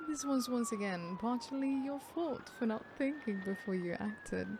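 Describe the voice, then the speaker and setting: A woman playing a sadistic, smug female serial killer in an ASMR horror roleplay, theatrical and unhurried, often dropping into breathy whispers. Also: a little high, background noise, close to an everyday voice. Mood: smug, taunting.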